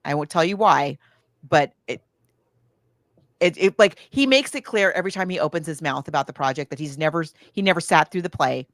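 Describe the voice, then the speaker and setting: A woman's voice: low voice, animated, brisk, dry recording, clean studio sound. An American woman co-hosting a podcast, chatting casually as she retells a film and slips into acting out lines. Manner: chatty, acts out quoted lines. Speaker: podcast co-host.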